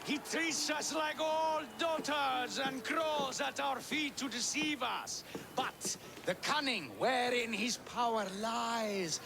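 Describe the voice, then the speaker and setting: High, creaky stage conspirator. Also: male voice, slow pace, heavily acted. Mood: indignant scheming. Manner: grandiose, indignant. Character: indignant scheming stage conspirator in ancient Greek farce. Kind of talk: theatrical declamation. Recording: noisy outdoor ambience.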